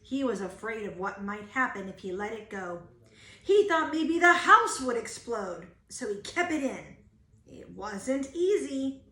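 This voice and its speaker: A woman's voice: a little high, sharp tone. A woman reading a children's storybook aloud like a teacher, expressively stressing key words. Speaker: teacher reading a picture book. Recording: roomy, echoing home-style recording.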